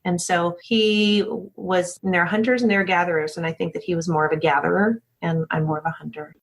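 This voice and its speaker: A woman's voice: on the low side, fairly even intonation, brisk. Candid woman in casual interview conversation. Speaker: interview guest, speaker agency founder.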